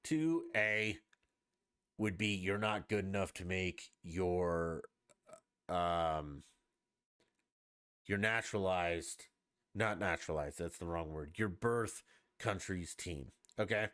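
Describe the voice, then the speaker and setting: A man's voice: low-pitched, slow pace, long pauses, fairly bright tone, no room sound, clean studio sound. A man hosting a podcast, talking alone in a blunt, profane, emphatic rant. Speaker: podcast host. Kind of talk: opinionated solo podcast rant.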